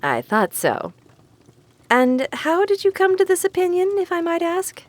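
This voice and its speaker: An actress playing an amused, kindly, devout neighbor woman in an audio drama, expressive and patient. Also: extremely high pitch, a little slow, exaggerated voice. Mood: teasing, probing.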